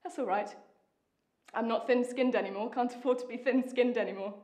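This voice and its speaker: A woman with a British accent acting a lonely, bitter, longing young wife in a stage monologue, intense and deliberate, with dramatic pauses. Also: fairly high pitch, fairly even intonation, a little mellow, natural voice. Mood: hurt, brittle. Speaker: acting student. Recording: echoey room.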